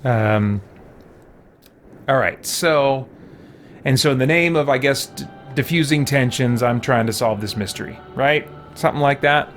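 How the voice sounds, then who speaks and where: A man's voice: medium pitch, a little slow, fairly bright tone. Man, mid-range voice, casual game streaming commentary, rambling and informal, prominent background music. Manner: thinks aloud, rambling, informal. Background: video game music.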